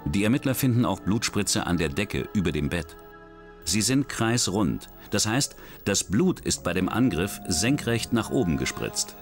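A man narrating a crime documentary in a measured, dramatic scripted style. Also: lowish voice, sing-song, over music.

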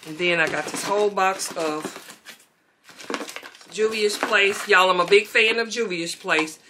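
A woman's voice: medium pitch, measured pace, background noise. A middle-aged woman, chatting casually to viewers as she tidies her beauty room, filmed on a camera mic in a bedroom. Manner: chatty, relaxed, talks to viewers as y'all. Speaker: beauty vlogger. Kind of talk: casual chat while tidying. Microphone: camera mic, moving around.

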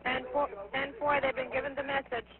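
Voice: sits high, completely flat delivery, brisk. A fire dispatcher talking procedurally over a two-way radio, clipped and repeating messages back. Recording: tinny, noisy fire dispatch radio recording.

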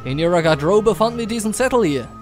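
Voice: medium pitch, lively intonation, fast, over music, close to an everyday voice. An actor playing a formal, grandiose pony film director in an audio drama. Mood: grave, formal.

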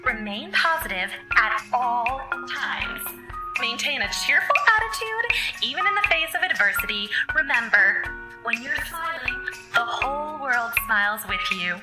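An actress playing a polite, unflappably cheerful space station computer, formal and deadpan, reading out a manual in a radio drama. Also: female voice, on the high side, over loud music, low-quality recording. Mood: serene, deadpan. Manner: measured, formal, deadpan reading of grim advice.

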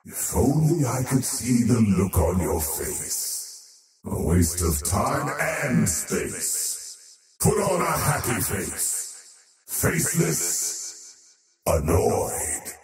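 Faceless mad time-wielding game villain: grandiose, very slow, wildly swooping pitch, arrogant menace. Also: male voice, sing-song, very echoey, recorded from a distance, over music, exaggerated voice. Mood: taunting, disdainful. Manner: grandiose, theatrical, taunting, drawn-out. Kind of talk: video game character voice lines.

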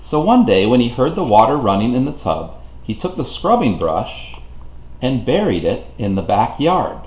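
A man reading a children's storybook aloud in an expressive, deliberate way for young listeners. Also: mid-range voice. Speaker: children's book reader. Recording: roomy, echoing home recording.

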